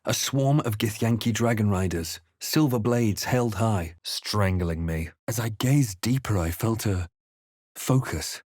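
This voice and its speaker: Low, measured fantasy game adventurer. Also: male voice, darkish tone, dry recording, studio quality. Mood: grave, intent. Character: male fantasy adventurer hero, measured, wary, quietly wry. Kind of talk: video game voice lines, dramatic narration and muttered asides.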